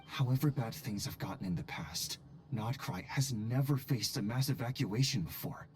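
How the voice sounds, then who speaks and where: An actor voicing an earnest, fretful colleague in a video game, speaking politely but emphatically. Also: male voice, medium pitch, normal speed, a little mellow, a little hiss or hum, lightly acted. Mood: concerned. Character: earnest, fretful male colleague, concerned and exasperated.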